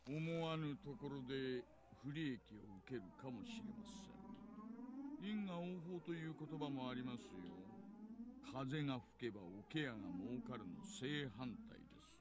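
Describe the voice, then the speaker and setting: A man's voice: fairly high pitch, animated, lightly acted. A man as a noisy, slyly menacing burly detective. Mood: veiled menace. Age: middle-aged. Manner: oily polite, drawn-out, mocking. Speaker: police detective. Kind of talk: Japanese visual novel voice acting.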